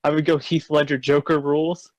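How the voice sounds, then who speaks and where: A young man with a high voice jokes along with a friend's reading and laughs easily. He throws in quick wisecracks. The sound is dry and close-miked. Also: little pitch movement, dry recording, muffled recording. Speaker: co-host. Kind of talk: casual comedic banter.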